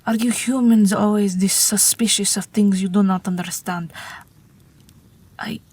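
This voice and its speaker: A woman's voice: medium pitch, fairly even intonation. A woman voicing an ageless Russian night witch pilot, wry, tender and weary, in an intimate, whispery audio roleplay. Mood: dry, coaxing. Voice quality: breathy, whispery. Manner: gentle, dryly wry, unhurried.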